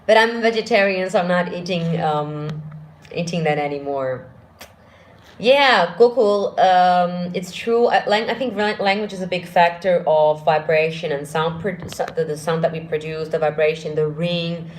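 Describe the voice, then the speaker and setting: A deep-voiced woman vocal coach chats through viewer questions on a live stream. Her voice sits fairly low. She talks loosely, restarts her sentences and laughs easily. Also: normal speed. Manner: chatty, thinks aloud with restarts, laughs often. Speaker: vocal coach and channel host. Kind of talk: casual live-stream Q&A answering chat.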